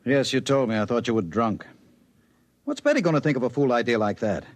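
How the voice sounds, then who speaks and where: An actor playing a gruff, blunt, sceptical male chief in 1950s radio drama style. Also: medium pitch, expressive, a little fast, natural voice. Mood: exasperated.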